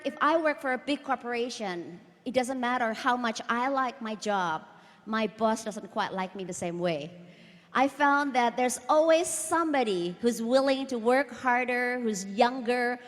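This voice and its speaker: A woman from Indonesia in her thirties giving an earnest motivational talk to a conference audience, often repeating words and asking the crowd questions. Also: high voice. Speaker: conference speaker. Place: large hall. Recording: long hall reverb.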